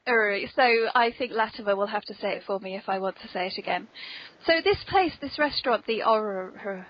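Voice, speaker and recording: A woman's voice: mid-range voice, expressive, fairly quick, dry recording. An English woman hosting a whimsical podcast in a playful, polite manner, on a dull amateur recording. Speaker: podcast host.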